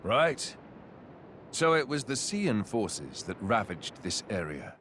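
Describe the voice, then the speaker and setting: A man's voice: lowish voice, very animated, slow, fairly bright tone, a little hiss or hum, heavily acted. An actor playing an earnest, dutiful young nobleman warrior in a video game cutscene, speaking frankly. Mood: concerned.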